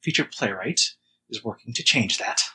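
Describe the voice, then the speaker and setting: Male interviewer, low pitch with a tense, sharp edge, measured and understated delivery. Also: lively intonation, a little hiss or hum, poor audio. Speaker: podcast host. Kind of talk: casual interview.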